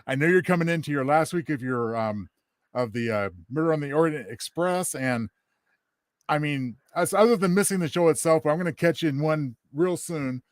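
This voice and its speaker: A man's voice: fairly high pitch, normal speed, dry recording, clean studio sound. A man co-hosting a casual chat in an easygoing, supportive manner. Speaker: co-host.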